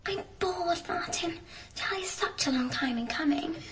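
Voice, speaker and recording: A woman's voice: very high-pitched, animated, unhurried. An actress playing an earnest, shy young girl who speaks in simple, candid childlike phrases in a radio drama.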